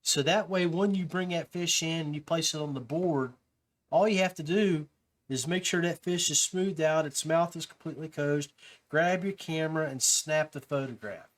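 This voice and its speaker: Higher-voiced man hosting a casual podcast. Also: normal speed. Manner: informal, addresses listeners as guys and peeps. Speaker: podcast host. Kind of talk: casual podcast talk to listeners.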